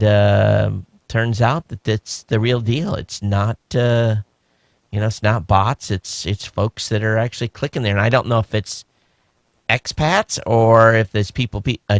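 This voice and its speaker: Low-voiced man chatting on a podcast. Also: measured pace, no echo. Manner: relaxed, rambling with frequent fillers. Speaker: podcast co-host. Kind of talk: casual chat.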